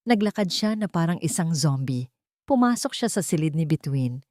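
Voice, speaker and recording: A woman narrating a sentimental story in a scripted, dramatic style with emotive, deliberate phrasing. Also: low-pitched, big pitch swings, darkish tone, dry recording, clean studio sound.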